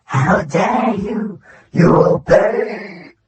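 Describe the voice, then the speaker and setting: A man voicing a menacing, greedy alien hijacker in an audio drama, with a creaky, strained, drawn-out threatening delivery. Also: high-pitched, darkish tone, roomy sound, voice pushed up, full character voice. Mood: furious.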